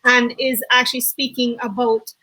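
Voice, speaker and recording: Bright, high-voiced woman host. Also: flat delivery, normal speed, breathy edge. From Jamaica. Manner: bubbly, laughs often, self-correcting. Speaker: co-host of online summit. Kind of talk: enthusiastic webinar hosting.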